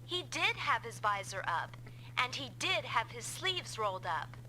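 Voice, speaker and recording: Bright, high-pitched, inquisitive young heroine. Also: female voice, sing-song, unhurried, a little hiss or hum, heavily acted, rough recording. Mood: suspicious, insistent. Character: young female pilot partner, inquisitive, alert, persistent. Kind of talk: anime-style English video game dub.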